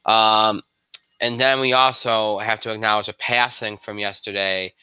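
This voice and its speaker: A man hosting a live sports show alone, congested and a bit hoarse, rambling with lots of fillers and restarts. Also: fairly even intonation, measured pace, sharp tone, no room sound, poor audio. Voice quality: high voice for a man.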